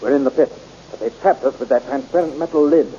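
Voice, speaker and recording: A man's voice: mid-range voice, mellow, natural voice. A man playing a defiant young hero. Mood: heroic. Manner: brisk, defiant and heroic. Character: brave young male hero. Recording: muffled old radio recording with hiss.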